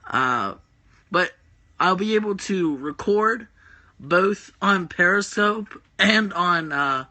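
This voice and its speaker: A man hosts a loose, rambling livestream from home on his phone. His voice is groggy yet very bright and slightly high, and he fills his talk with hesitations while chatting with viewers. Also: lively intonation, takes it slowly. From West Virginia. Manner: rambling, many filler "uh"s, reads chat comments aloud. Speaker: host of a wrestling predictions stream. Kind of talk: casual livestream chat.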